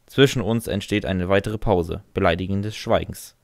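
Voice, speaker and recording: A man's voice: deep voice, moderate pace, gravelly, dry recording, studio quality. A man reading scripted fan fiction as a first-person narrator.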